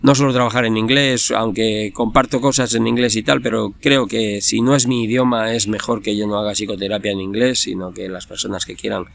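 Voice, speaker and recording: A man's voice: fairly low pitch, fairly even intonation, brisk, creaky, hardly any pauses. A middle-aged man with a Peninsular Spanish accent, explaining things informally and reflectively as a psychotherapist, often checking in with short tag questions. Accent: Spanish from Spain. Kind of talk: informal explanatory monologue for online video.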